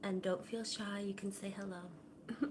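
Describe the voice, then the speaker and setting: A woman hosting a casual livestream from her home kitchen, talking softly and rambling, her sentences often trailing off quietly. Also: medium pitch, steady tone, a little slow, noisy background. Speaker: livestream host. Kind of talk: casual chat to viewers. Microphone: camera on a tripod.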